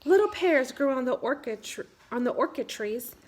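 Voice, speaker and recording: A woman narrating a children's picture book aloud in measured, simple phrases. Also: sits high, lively intonation. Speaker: children's read-aloud storyteller.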